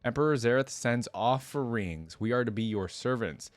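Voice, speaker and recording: A man voicing a menacing mercenary rider, an emperor's envoy, in a formal, measured storybook reading. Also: mid-range voice, lively intonation, no room sound, natural voice. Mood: formal menace.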